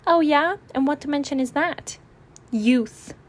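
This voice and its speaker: A woman's voice: sits high, measured pace, pitched above the performer's own voice. A woman voicing a cute, vain young male cartoon kitten in a chirpy, self-satisfied way. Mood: cheeky.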